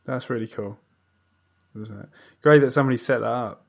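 A man's voice: medium pitch, brisk, creaky. A man hosting a casual interview podcast, speaking in an effusive, readily agreeing way.

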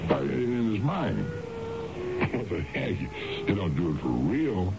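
A man's voice: fairly low pitch, huge pitch swings, takes it slowly, gravelly, heavily acted. An actor playing a weary, irritable American husband in his forties, grumbling colloquially in a radio drama. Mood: bitter brooding. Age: 40s. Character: weary, irritable 45-year-old suburban husband. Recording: noisy, dull old radio broadcast.

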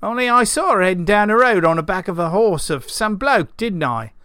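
A man's voice: high voice, lively intonation, fairly quick, bright, forceful tone, clean tone, dry recording, clean studio sound, pitched above the performer's own voice. A man voicing a wary, bristly old farmer in an audiobook, with rustic speech and a sputtering, toothless mouth. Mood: scornful. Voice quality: sputtering through missing teeth.

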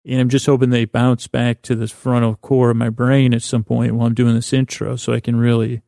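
A man with a creaky voice hosting a bedtime podcast, meandering and halting in a lulling, self-deprecating monologue. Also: mid-range voice, level tone, mellow, no echo, studio quality. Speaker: podcast host.

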